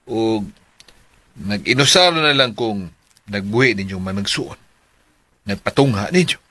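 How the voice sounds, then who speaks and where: A man's voice: on the low side, lively intonation, measured pace, sharp tone, full character voice. An actor playing a stern, worried father who speaks in a probing, weighty way, in a radio drama. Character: stern, anxious widowed father questioning his daughter.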